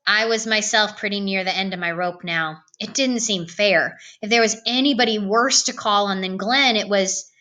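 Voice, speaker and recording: A woman reading a novel aloud in an expressive storytelling style. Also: medium pitch, moderate pace, few pauses. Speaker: storybook reader.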